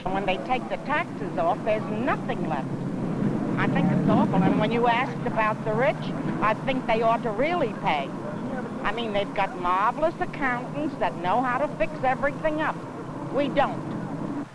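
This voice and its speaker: A woman's voice: a little high, lively intonation, normal speed, very noisy. A woman complaining emphatically in a casual street interview recorded outdoors on old film.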